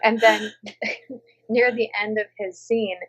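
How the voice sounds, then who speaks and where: A woman's voice: on the high side, takes it slowly, husky, rough recording. An American woman from Minnesota, an audiobook narrator, chatting in an interview and slipping into quoted voices as she tells stories. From Minnesota, USA. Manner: animated, drops into quoted voices. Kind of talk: casual interview chat.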